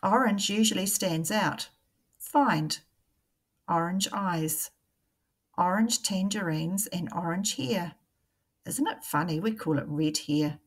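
A woman, a primary teacher reading a picture book aloud to young children in a deliberate, questioning way. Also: fairly low pitch, breathy edge.

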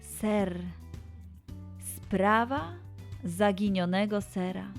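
A woman narrating a children's story slowly and expressively. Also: medium pitch, creaky. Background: soft background music.

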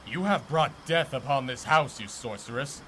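A man's voice: medium pitch, lively intonation, normal speed, natural voice. A man playing a bitter, contemptuous older blacksmith in ancient Greece, haughty and declamatory, in an audio drama. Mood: angry, accusing. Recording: noticeable background noise.

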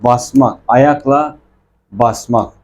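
Male language teacher, soft-edged mellow tone, informal explanatory delivery with patient repetition. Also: medium pitch, slow, slight background noise.

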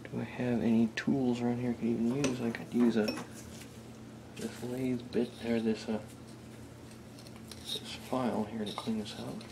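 A man's voice: mid-range voice, flat delivery, normal speed, creaky, long pauses, background noise. A man chatting casually and hesitantly as he thinks aloud during hands-on hobby work in a small home workshop. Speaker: hobbyist restorer, video host.

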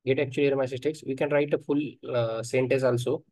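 A man's voice: mid-range voice, fairly even intonation, a little mellow, dry recording. Man thinking aloud through a coding tutorial. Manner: halting, thinks aloud while typing, frequent uh fillers. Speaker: software testing instructor. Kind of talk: screen-recorded coding tutorial.

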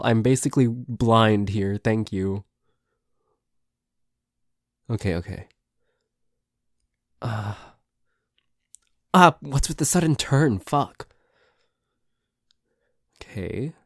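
A man's voice: lowish voice, no room sound, clean studio sound. A man playing a nervous, suspicious, grumpy boyfriend in an ASMR roleplay, hesitant and stammering, with some swearing. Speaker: amateur voice actor.

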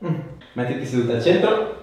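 A man's voice: medium pitch, animated, brisk, darkish tone. A man, working as a chiropractor, giving short encouraging instructions and questions while chatting casually with a patient. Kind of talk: casual hands-on treatment chat with a patient. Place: small bare treatment room, indoors. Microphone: camera a few steps away. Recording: very echoey room, distant mic, light noise.

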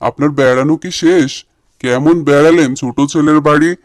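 A man's voice: on the high side, a little fast, lightly acted. A man voicing a wryly inquisitive village fellow in a cartoon, with a folksy, knowing manner. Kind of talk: cartoon voice acting.

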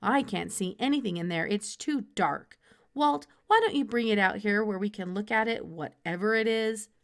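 A woman's voice: mid-range voice, normal speed, natural voice. Woman playing a fussy, grumbling farm mom. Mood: fussing. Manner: fussing, exclamatory.

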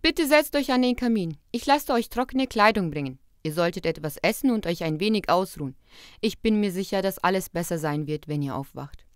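Woman as smooth-voiced, gracious fairy tale queen. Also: lowish voice, lively intonation, no room sound, faint music behind, close to an everyday voice. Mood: hospitable. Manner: formal, measured. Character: gracious, composed queen and mother. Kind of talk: fairy tale cartoon dialogue.

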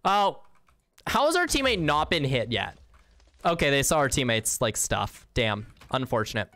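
A man's voice: mid-range voice, big pitch swings, moderate pace, bright, forceful tone, no room sound. A young man casually chatting with viewers while playing a game, slangy and easygoing, with the odd swear. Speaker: streamer. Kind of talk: casual game livestream, reading chat.

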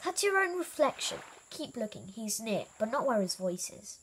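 A woman voicing a calm, kindly, gently teasing elephant leader in a children's storybook. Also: a little high, moderate pace, slight background noise, low-quality recording.

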